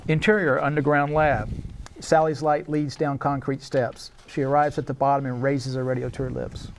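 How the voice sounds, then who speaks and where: Mid-pitched man narrating a screenplay. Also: level tone, moderate pace, vocal fry, slight background noise. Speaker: narrator reading stage directions. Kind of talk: scripted narration at a live table read.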